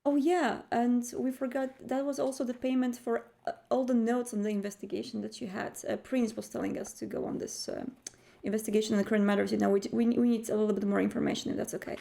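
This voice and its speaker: Higher-pitched woman with creaky, mellow voice. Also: flat delivery, quick pace. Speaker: player in a tabletop game. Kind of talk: casual improvised roleplay.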